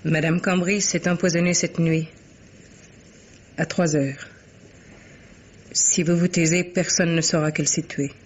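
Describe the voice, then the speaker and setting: A man's voice: fairly high pitch, level tone, measured pace, pauses often. A man voicing a stern, loyal old governess, solemn and terse, in a 1950s French radio mystery. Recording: muffled, noisy old radio recording.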